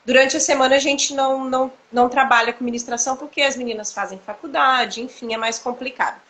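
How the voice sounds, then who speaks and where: A woman, telling her story in a casual chat over a video call, animated and quick to laugh. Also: sits high. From Cuiabá, Mato Grosso, Brazil. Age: 30s. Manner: animated, laughs often, many fillers. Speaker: church dance ministry leader, guest.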